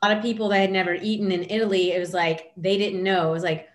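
A middle-aged woman hosting a casual video call chat, talking in a chatty, animated way with lots of asides. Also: medium pitch, fast. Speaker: host. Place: home room indoors. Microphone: video call, webcam.

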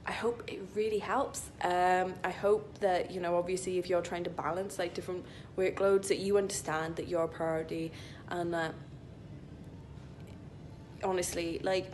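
A woman's voice: on the low side, a little mellow, a little hiss or hum. A young woman talking in a casual, chatty, rambling vlog style into a phone held at arm's length, peppering her speech with "like". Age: young adult. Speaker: vlogger, IT consultant. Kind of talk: casual vlog chat to camera. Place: indoor gym locker room.

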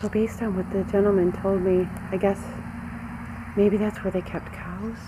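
A woman's voice: medium pitch, level tone, moderate pace. A middle-aged woman vlogging casually off the cuff, chatty and musing aloud with wonder. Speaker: rideshare driver and vlogger. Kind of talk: casual off-the-cuff vlog narration. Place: moving car with windows down, later open barn. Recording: noisy handheld camera audio with car and wind.

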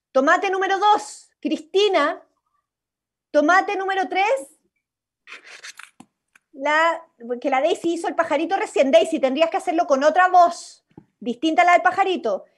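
A woman with a high voice leads a drama workshop over a video call. She gives instructions and checks in encouragingly as she directs. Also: measured pace, pauses often. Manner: encouraging, instructive, acts out example lines. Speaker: workshop leader. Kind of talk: directing an improvised radio play workshop. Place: indoors at home, on a video call.

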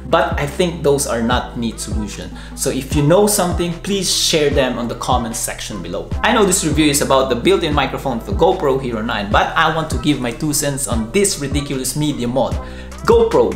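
A man's voice: medium pitch, roomy sound. A man in his forties with a Filipino accent, reviewing gear in a casual, candid home vlog. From Philippines. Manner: candid, blunt opinions. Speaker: tech vlogger. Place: living room at home.

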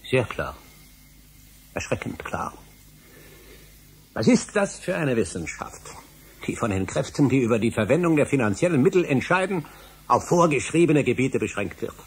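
A man's voice: mid-range voice, big pitch swings, normal speed, long pauses, breathy edge, heavily acted. An actor playing an obsessive middle-aged male scholar in a German radio drama, speaking emphatically and rhetorically. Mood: indignant. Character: obsessive older male scholar, insistent and indignant.

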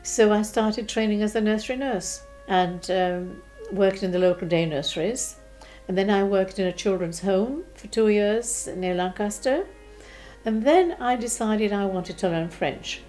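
An elderly woman in her eighties from Czechoslovakia recalls the past in a mellow, soft-toned voice. She speaks gently and unhurriedly, pausing and restarting often, with faint music under her. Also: medium pitch, poor audio. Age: 80s. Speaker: interviewee. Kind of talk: recounting childhood memories. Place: living room, seated in armchair.

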